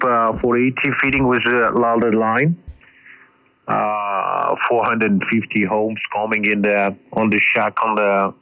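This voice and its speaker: A man with a non-native English accent talking technically as an amateur radio operator, halting and searching for words, heard over a radio transmission. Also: mid-range voice, dull audio. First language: not English. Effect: ham radio transmission. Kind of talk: ham radio contact, technical talk. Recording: roomy, band-limited shortwave radio transmission.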